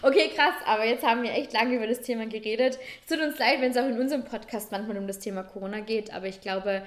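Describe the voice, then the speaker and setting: A woman's voice: a little high, fast. A young adult woman with an Austrian accent, co-hosting a podcast in casual chat, talking freely with many fillers and restarts. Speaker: podcast co-host.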